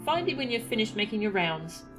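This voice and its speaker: A woman's voice: mid-range voice, sing-song, fairly quick, over music, voice pushed up, natural voice. A woman voicing a refined lady in a fantasy game with a dry, polite, teasing manner. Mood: wry, teasing.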